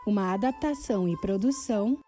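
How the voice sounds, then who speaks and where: A woman's voice: medium pitch, brisk, warm tone. A woman announcer reading scripted closing credits for a podcast. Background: faint music.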